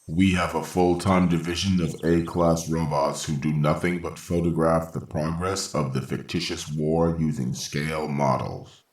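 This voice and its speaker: A man playing a calm, logical robot caretaker in a science fiction radio drama, speaking formally and with unshakeable composure. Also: extremely low pitch. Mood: calm, explanatory. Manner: measured, formal, matter-of-fact. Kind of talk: old-time radio science fiction acting. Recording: roomy, echoing voice.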